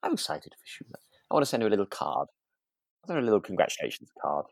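A man's voice: lowish voice, huge pitch swings, racing, gravelly, dry recording. A British man chatting as a podcast co-host, hesitant and often restarting his sentences. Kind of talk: relaxed chat discussing a radio drama.

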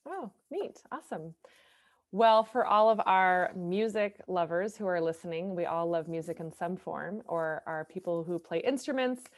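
A woman's voice: on the low side, expressive, moderate pace. Encouraging woman podcast host. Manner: upbeat, encouraging. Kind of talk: podcast interview.

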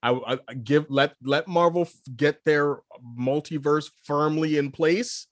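A man chats casually about pop culture as a podcast co-host. His voice is a little higher than average and recorded very dry. He is emphatic, often repeating a phrase to drive it home. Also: fairly even intonation, unhurried, no echo.

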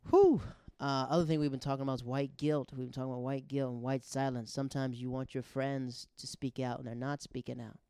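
A man's voice: mid-range voice, steady tone, fairly quick, few pauses, warm tone, smooth, dry recording. A man talking in a casual, conversational monologue as the host of a show, often acting out remarks he quotes. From grew up abroad in the Netherlands and Japan. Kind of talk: casual personal monologue on social issues.

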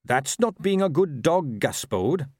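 A man's voice: a little high, very animated, a little slow, a little mellow, husky, no room sound, clean studio sound, pitched above the performer's own voice, exaggerated voice. A man voicing an earnest, polite young watchman in an audiobook, courteous and slyly persuasive. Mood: cheerful. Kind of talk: audiobook character voice.

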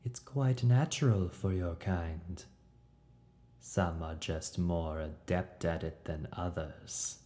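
A man reading a scheming male fright who coaxes and condescends in a calm, measured way. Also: low voice. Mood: smug. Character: scheming male fright, silken manipulative villain. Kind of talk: audiobook character voice.

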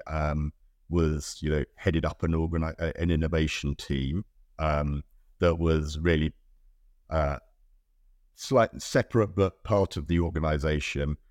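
Guest: British man, middle-aged, extremely deep voice, hesitant and considered. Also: no room sound, studio quality. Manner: thoughtful, many ums and restarts. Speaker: guest, innovation director. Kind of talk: casual interview answers.

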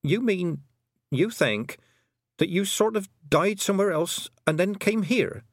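Man voicing an earnest young girl, pitched up. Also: expressive, unhurried, dry recording, clean studio sound, full character voice. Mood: puzzled. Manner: earnest, questioning.

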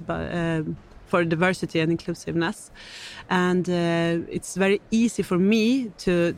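A woman's voice: lowish voice, slight background noise. A woman, a section manager, answering interview questions in a matter-of-fact, businesslike way. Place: live event stage.

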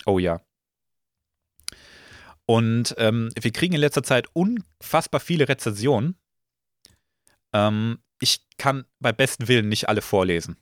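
A slightly hoarse man hosting a casual podcast, relaxed and full of filler sounds. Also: mid-range voice, a little slow, long pauses, dry recording, clean studio sound. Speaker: podcast host.

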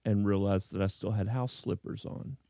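Male podcast host, dry self-mocking humor, gravelly mellow voice, dry close sound. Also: on the low side, fairly even intonation, normal speed, no echo. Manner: self-deprecating, joking. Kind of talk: casual chat.

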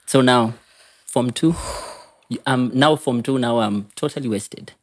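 A man's voice: medium pitch, unhurried. A man with a Kenyan accent, an interview guest telling personal stories casually, mixing English and Swahili and repeating words for emphasis. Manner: code-switches between English and Swahili.

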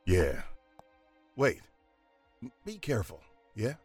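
A man acts a loyal, anxious cop in an audio drama. His mid-pitched voice moves widely and expressively, with faint music underneath. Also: wildly swooping pitch, slow pace, breathy edge, heavily acted. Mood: concerned. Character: anxious, loyal police detective partner.

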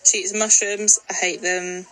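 Young woman, casual podcast co-host, dry matter-of-fact delivery, bright voice. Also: mid-range voice, level tone, a little slow, rough recording. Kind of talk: casual chat.

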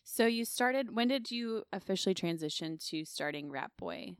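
A woman's voice: mid-range voice, expressive, moderate pace, clean tone, dry recording, studio quality. A woman co-hosting a podcast, asking questions in an upbeat, playful way. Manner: upbeat, interviewing with playful slang. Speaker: podcast co-host. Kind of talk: casual chat.

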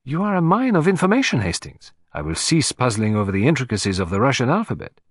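A man's voice: mid-range voice, big pitch swings, quick pace, slightly rough, dry recording, clean studio sound. A man voicing a shrewd, courteous, playful little foreign detective in an audiobook mystery, precise and teasing. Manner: polite, precise, teasing, with French phrases.

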